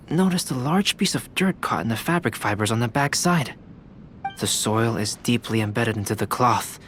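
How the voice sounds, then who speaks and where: Young male scholar, played by an actor: mid-pitched, measured and formal, analytical game character, faint noise behind. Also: a bit bright, close to an everyday voice. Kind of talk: anime game voice acting.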